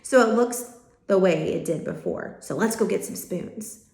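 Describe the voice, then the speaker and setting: A woman voicing a conscientious but mischievous older brother, a boy in a children's storybook, childlike and animated. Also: mid-range voice, moderate pace, natural voice. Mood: urgent. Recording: roomy, echoing home-style recording.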